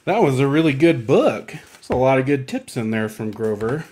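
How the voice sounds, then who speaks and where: Gentle man hosting children's storytime. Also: mid-range voice, animated, normal speed. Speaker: storytime host at a library. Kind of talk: casual commentary for young children.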